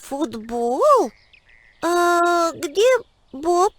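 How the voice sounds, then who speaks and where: A woman's voice: very high voice, sing-song, very slow, fairly bright tone, exaggerated voice. A woman voicing a cheerful, caring little girl heroine in an educational children's cartoon. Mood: cheerful, curious.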